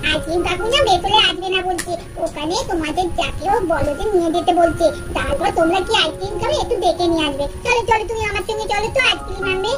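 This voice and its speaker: A chirpy little child from a puppet doll story, with a very high, babyish voice. The child wheedles in a sing-song way over loud background music. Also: female voice, fast talker, hardly any pauses. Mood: excited.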